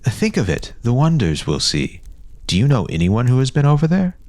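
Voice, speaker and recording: A man voicing an excited, coaxing boy who leads his friends on adventures in an audio story. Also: mid-range voice, normal speed, voice pushed up. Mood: eager, wondering. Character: eager, persuasive adventurous boy, ringleader of friends.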